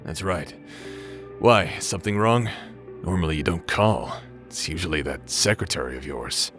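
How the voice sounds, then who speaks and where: A man's voice: low voice, a bit bright, faint music behind. A man playing a remorseful former space pirate captain and awkward brother in a dramatic sci-fi audio roleplay. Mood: weary, casual. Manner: halting, sighing, hesitant delivery.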